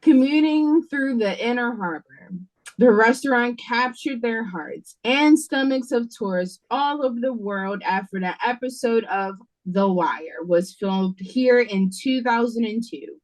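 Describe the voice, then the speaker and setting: A woman's voice: a little high, unhurried, close to an everyday voice, rough recording. A woman playing a guarded, sarcastic waitress in an audio drama read-through, curt and exasperated. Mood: reciting. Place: remote reading over a video call. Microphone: video call.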